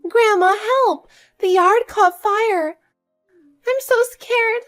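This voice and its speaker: A woman's voice: very high-pitched, a little slow, soft background music, voice pushed up. A woman voicing a frightened young granddaughter, plaintive and pleading, in an acted family story. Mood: scared, crying.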